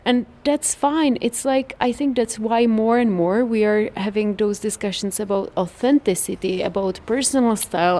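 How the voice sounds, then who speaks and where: A woman's voice: medium pitch, darkish tone. A woman with a Czech accent explains things in a casual, chatty interview style, peppering her talk with "like" and "you know". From Czech Republic. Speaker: interview guest, trend forecaster.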